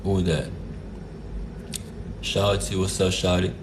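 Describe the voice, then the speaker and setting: A man's voice: low voice, flat delivery, slow pace, background noise. A man from Jamaica hosting a casual live stream, greeting viewers by name in a laid-back, repetitive way. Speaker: livestream host.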